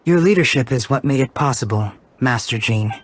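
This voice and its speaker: A man playing a calm, reassuring young scholar in a video game, measured and courteous. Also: medium pitch, no echo, close to an everyday voice. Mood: composed.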